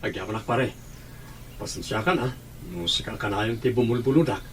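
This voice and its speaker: A man's voice: fairly low pitch, brisk, background noise, lightly acted. A man with a Filipino accent playing a stern, protective older brother in a radio drama. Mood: apologetic.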